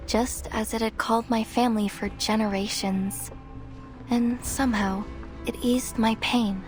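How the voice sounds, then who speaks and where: A woman voicing a determined, wistful young explorer in a video game, speaking in a measured, reflective and earnest way. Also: mid-range voice, close to an everyday voice. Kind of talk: scripted game character voice lines. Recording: music behind the voice.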